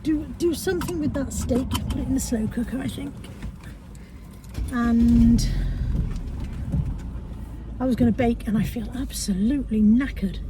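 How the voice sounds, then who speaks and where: A woman's voice: a little high, background noise. A British woman chatting casually in a vlog from her car, sounding congested as she comes down with a cold, tagging questions onto her remarks. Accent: British English. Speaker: vlogger.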